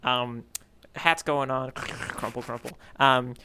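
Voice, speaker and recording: A man's voice: mid-range voice, fairly even intonation, slow, pushed and bright, slight background noise. A man co-hosting a casual readalong podcast, talking in a playful, rambling way that often interrupts itself. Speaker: podcast co-host.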